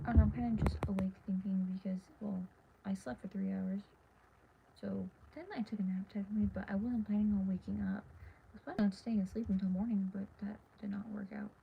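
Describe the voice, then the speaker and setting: A teenage girl livestreaming from her dark bedroom at night, talking in a tired, half-whispered ramble while losing her voice. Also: medium pitch, steady tone, a little fast, gravelly. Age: teens. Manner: hushed, half-whispered and rambling with many fillers. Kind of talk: casual late-night livestream chat. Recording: dull lo-fi phone audio with faint hiss.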